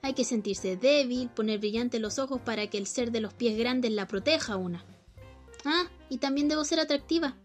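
A young Chilean woman plays a bored young wife, high-voiced and theatrical, swinging between wry musing and mock grandeur. Faint music plays beneath her radio-play monologue. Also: normal speed, close to an everyday voice. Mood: mock oratory. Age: young adult. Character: young bored wife plotting against husband. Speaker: student actor. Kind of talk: student radio drama acting.

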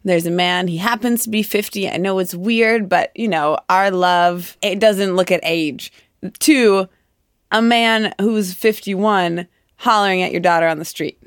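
A woman's voice: medium pitch, unhurried, smooth, no room sound, studio quality. A woman interviewing a stranger on a city street, asking wry, probing questions. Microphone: handheld mic.

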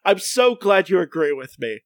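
A man's voice: high voice, sing-song, normal speed. A man co-hosting a podcast in casual, playful chat, rambling with many fillers. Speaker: podcast co-host.

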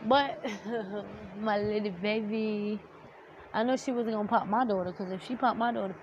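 A woman's voice: medium pitch, steady tone, normal speed, muffled recording. A young woman with a slightly nasal, teary-sounding voice, ranting heatedly to camera with blunt, heavy swearing. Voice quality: nasal, like about to cry. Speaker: vlogger. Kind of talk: storytime rant to camera. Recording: noticeable background noise.

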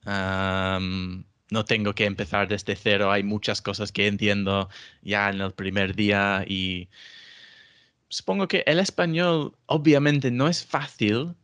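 Slow, low-voiced man learning Spanish. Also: steady tone, fairly bright tone, smooth. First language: English. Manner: hesitant, many restarts and fillers. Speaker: language learner guest. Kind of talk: casual conversation in Spanish. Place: England.

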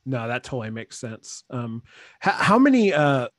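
A man's voice: mid-range voice, very animated, moderate pace, dry recording, clean studio sound. A young adult man hosting a podcast, asking rambling, easygoing questions full of fillers. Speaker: podcast host. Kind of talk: casual interview chat.

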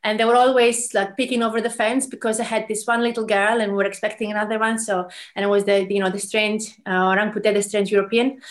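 A middle-aged woman from Greece tells a story in an interview, at an ordinary pitch for a woman. She speaks in an animated way, with frequent fillers, restarts and repeated words. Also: quick pace, few pauses. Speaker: mission representative, guest. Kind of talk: conversational storytelling.

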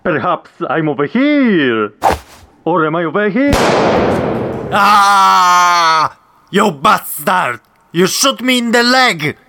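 A man's voice: high-pitched, big pitch swings, takes it slowly, creaky, long pauses, bright, forceful tone, slight background noise, heavily acted. A man playing a vain, whiny invisible superhero in a comedy audio drama, with a theatrical delivery. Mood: pained, outraged.

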